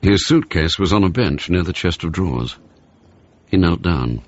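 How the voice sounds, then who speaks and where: An actor playing the measured narrator of a radio spy thriller, with a husky voice. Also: male voice, deep voice, lively intonation, dull audio. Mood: measured, matter-of-fact. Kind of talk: scripted narration in radio drama.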